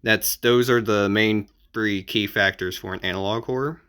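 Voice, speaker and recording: A man giving casual advice as an amateur video creator, explaining in an informal, halting and repetitive way. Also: fairly low pitch, steady tone, bright, forceful tone, clean tone.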